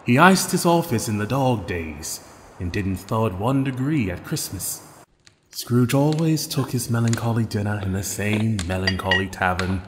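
A man's voice: fairly low pitch, animated, moderate pace, slight background noise, natural voice. A man playing a theatrical storyteller, narrating an audio play emphatically and savoring his words. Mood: gloomy storytelling. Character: theatrical male storyteller, relishing every word. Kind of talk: dramatic scripted storybook narration. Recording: long reverb, music behind.

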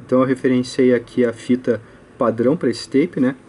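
A man's voice: mid-range voice, steady tone, fairly quick, mellow, a little hiss or hum. A man with a Brazilian accent giving an informal technical tutorial, explaining hesitantly step by step with frequent check-in tags. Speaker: host of an audio electronics channel. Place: indoors at a work table.

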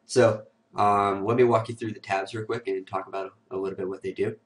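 A man with a raspy, cold-roughened voice, sniffling now and then, hosting a casual screen-recorded software tutorial full of "uh" and "um". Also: on the low side, flat delivery, brisk, distant mic. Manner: casual, filler-heavy walkthrough with many "uh" and "um". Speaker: host of a security tutorial show.

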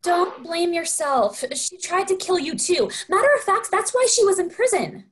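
A woman's voice: very high voice, expressive, moderate pace. A woman reading the part of a caring friend at a table read, in a soothing way. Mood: consoling.

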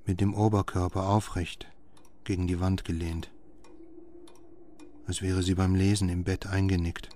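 A man playing a grim, haunted husband and father, narrating an audio horror drama in measured phrases. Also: sits low, a little mellow, a little hiss or hum. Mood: numb. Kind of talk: scripted first-person horror narration.

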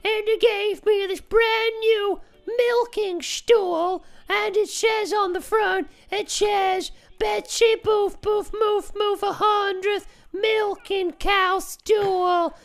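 A woman playing an excitable farm woman greeting an old friend, gushing and dramatic in an improvised comedy role-play. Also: extremely high pitch, slow pace, gravelly, few pauses, exaggerated voice.

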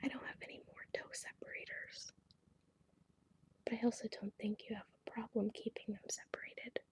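A woman speaking in a breathy, gentle, unhurried whisper as a salon pedicurist in an ASMR roleplay, pampering a client. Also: medium pitch, little pitch movement. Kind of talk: soft-spoken ASMR roleplay.

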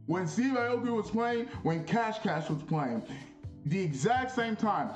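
A young man vlogging in a casual, animated, slangy storytelling way, repeating phrases for emphasis in a small bedroom. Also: sits high, measured pace, roomy sound, muffled recording, soft background music. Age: young adult.

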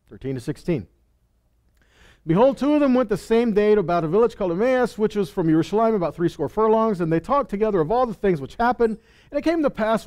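A man in his thirties teaches scripture from a stage, with a fairly high voice. He talks casually, often asks his listeners questions and acts out the people he quotes. He speaks into a headset mic. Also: darkish tone. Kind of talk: Bible teaching. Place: stage with purple lights.

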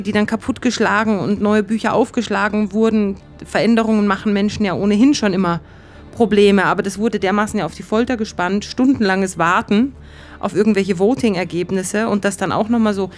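A woman giving a reflective interview, halting and searching for words as she recalls the past. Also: mid-range voice. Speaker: interviewee, former casting show contestant.

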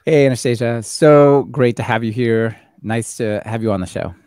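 A man's voice: medium pitch, normal speed, a little mellow, dry recording. A middle-aged man hosting a live-stream podcast, upbeat and welcoming, on a studio mic in a home office. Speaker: podcast host. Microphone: studio mic on boom arm.

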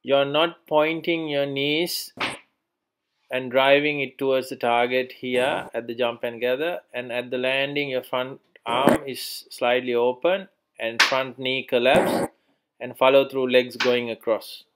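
A man coaching bowling, calmly pointing out faults and fixes in a bowler's action. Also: mid-range voice, a little slow, a little hiss or hum, rough recording. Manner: instructive, pointing out technique faults. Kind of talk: coaching commentary over training footage.

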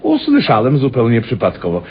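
Animated courteous, nosy stranger. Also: male voice, mid-range voice, brisk. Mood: apologetic, curious. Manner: courteous, deferential, probing. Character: polite, inquisitive male stranger, amateur student of people. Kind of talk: radio play acting. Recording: muffled old radio recording, faint hiss.

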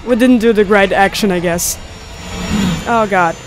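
A woman's voice: mid-range voice, unhurried, over music, crowd noise, rough recording. A young woman giving casual, exclamatory gameplay commentary with swearing into a close studio mic. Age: young adult. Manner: reactive, exclamatory, profanity-laced. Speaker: gaming video host. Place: indoors at a home recording setup. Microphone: close studio condenser mic. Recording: clear game ambience noise behind voice. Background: horror game ambience.

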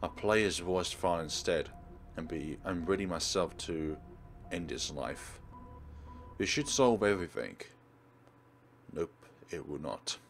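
A man's voice: sits low, lively intonation, unhurried, long pauses, soft background music. A man hosting a let's play, dramatically reading a visual novel aloud and commenting on it.

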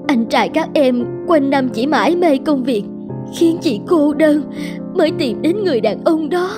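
High-voiced anxious scheming wife. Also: female voice, animated, unhurried, music in the background. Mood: pleading, remorseful. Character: anxious, scheming adulterous sister-in-law, adult woman. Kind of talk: Vietnamese animated drama dubbing.

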